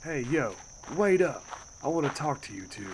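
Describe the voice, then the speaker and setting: A man's voice: on the high side, big pitch swings, normal speed, a little hiss or hum, rough recording. A man playing a pushy young street thug in an audio roleplay, talking in informal slang. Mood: cocky, pushy.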